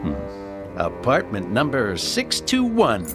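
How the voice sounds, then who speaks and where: A cocky, scheming lowlife in a radio drama. He talks slow and slangy in a low voice, with plenty of insolent swagger, over a clear music bed. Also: wildly swooping pitch, full character voice. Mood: insolent, commanding. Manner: slangy, swaggering tough-guy talk. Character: cocky, scheming male street drifter, tough and insolent.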